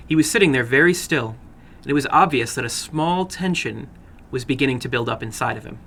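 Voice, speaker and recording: A man's voice: medium pitch, pushed and bright, slight background noise. A man giving a dramatic scripted narration of horror fiction, as the host of a story broadcast.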